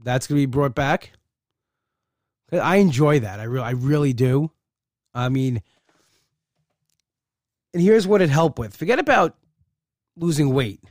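A man's voice: medium pitch, expressive, a little slow, pauses often, a little mellow, no room sound. Rambling, candid man on a dry mic. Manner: rambling, candid, many restarts. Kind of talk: casual personal monologue.

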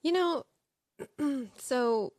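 A woman's voice: sits high, expressive, drawn-out words, darkish tone, no room sound. Dry-sounding, laughing woman co-host. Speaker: morning show co-host. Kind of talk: casual chat.

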